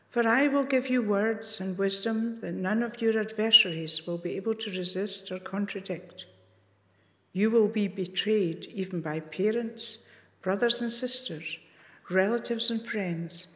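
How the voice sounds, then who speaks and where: A woman reading a Bible passage aloud in church, careful and clearly phrased. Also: medium pitch. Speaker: scripture reader. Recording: long church reverb, distant mic.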